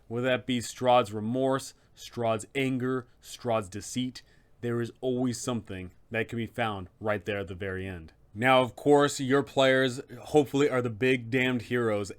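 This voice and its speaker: A man's voice: mid-range voice, clear, resonant tone. A man you would hear hosting an online guide for game masters, laying out villain tips with a storyteller's emphasis. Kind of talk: scripted, dramatic explanatory narration.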